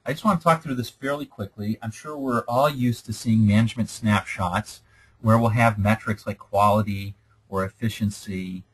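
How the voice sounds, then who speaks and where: A man's voice: fairly low pitch, normal speed, husky, poor audio. A man lecturing over slides in a conversational, explanatory way, often checking in with "okay?".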